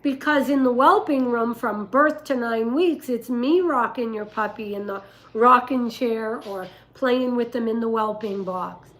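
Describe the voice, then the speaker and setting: An older woman with a rough voice, telling stories and giving advice casually on a livestream, in an emphatic, deliberate way. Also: on the high side, a bit bright, low-quality recording. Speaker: dog breeder and livestream host.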